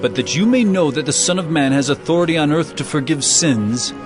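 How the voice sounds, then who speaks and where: Casting: male actor as an earnest, authoritative holy teacher, raised pitch, very expressive, emphatic, music beneath. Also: fairly quick, close to an everyday voice. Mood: earnest teaching. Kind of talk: dramatized scripture audio acting.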